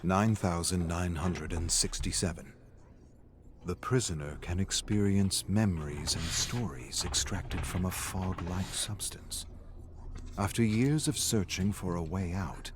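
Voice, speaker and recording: A man reading scripted journal-style lore entries as a measured, serious storyteller. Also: low-pitched, a little hiss or hum. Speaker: reader of game lore entries. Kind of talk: scripted narration, journal entries read aloud.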